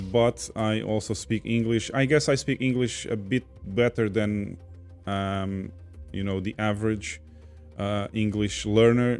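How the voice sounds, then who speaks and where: Portuguese guy hosting a chat stream, low-ish voice, laughs easy, soft music in the back. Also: clear, resonant tone. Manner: chatty, reads viewer comments aloud, laughs easily. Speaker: podcast host reading chat. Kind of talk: casual livestream chat.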